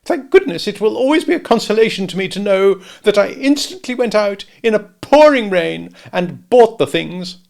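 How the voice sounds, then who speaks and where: A man doing a shrill, fussy old lady. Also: lively intonation, normal speed, gravelly, hardly any pauses, darkish tone. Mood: indignant. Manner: shrill, indignant, dramatic. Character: fussy affected older lady relative, self-pitying.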